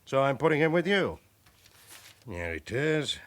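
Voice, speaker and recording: A man acting a stern, brisk chief inspector in a British radio drama, authoritative and clipped in his delivery. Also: mid-range voice, sing-song, a bit bright. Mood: brisk, commanding. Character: stern, brisk male police chief inspector, dryly commanding.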